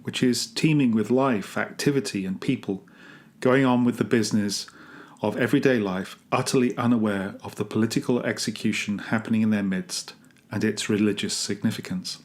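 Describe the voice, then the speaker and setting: A man reading a scripted, reflective devotional meditation as a church rector, in a measured and earnest manner. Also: on the low side.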